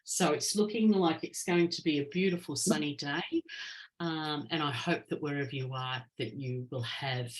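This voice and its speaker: A woman's voice: low voice, normal speed, poor audio. A woman with an Australian accent hosting an online ritual, friendly and hesitant, with many fillers, speaking over a video call. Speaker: ritual host.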